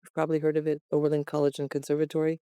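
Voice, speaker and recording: Smooth-voiced American woman in her sixties. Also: deep voice, completely flat delivery, very fast, dark tone, no room sound, studio quality. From West Los Angeles, USA. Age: 60s. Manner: chatty, laughs often. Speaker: guest. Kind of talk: conversational interview. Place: attic room of a farmhouse.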